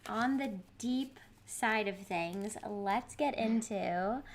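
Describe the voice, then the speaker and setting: A woman's voice: medium pitch, slow, very noisy. A woman with a Boston accent, hosting a podcast in casual, bubbly chat and laughing often. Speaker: podcast host.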